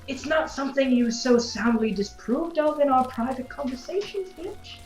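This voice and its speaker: A woman's voice: fairly high pitch, echoey room, poor audio. A woman playing a grandiose, effusively polite but unrepentant revolutionary zealot in an audio drama, speaking in formal, stilted phrases. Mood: defensive. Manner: formal, stilted, refers to self as "one".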